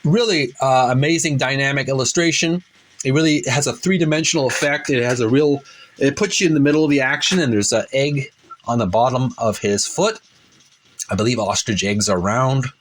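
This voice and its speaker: A man hosting a hobby show, describing illustrations in a casual, unscripted way, halting and rambling as he searches for words. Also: medium pitch, slight background noise. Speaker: host of a retro video game art book show.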